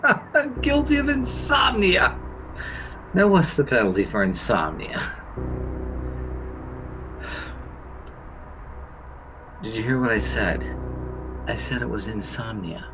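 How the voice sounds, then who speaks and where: A man's voice: mid-range voice, very animated, a little slow, dull audio, noisy background. A man playing an accused suburban husband, bewildered, defensive and indignant, in a radio play.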